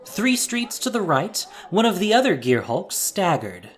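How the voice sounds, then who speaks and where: Man narrating with very lively pitch. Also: a little slow. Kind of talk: dramatic scripted story narration.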